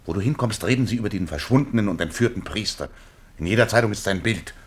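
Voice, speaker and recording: A man playing an anxious, urgent fugitive in a German radio drama, speaking in a hurried manner. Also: fairly low pitch, lively intonation, breathy edge. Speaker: actor in a radio play.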